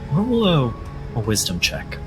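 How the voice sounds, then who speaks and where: A man narrating a tabletop roleplaying game as game master, in a measured, descriptive storytelling manner. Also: medium pitch, wildly swooping pitch. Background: music.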